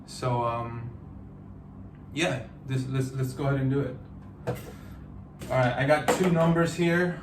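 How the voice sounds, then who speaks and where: A man in his thirties from the United States, talking through a personal vlog in a halting, reflective way with many fillers and restarts. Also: mid-range voice, steady tone, noisy background. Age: 30s. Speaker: vlogger.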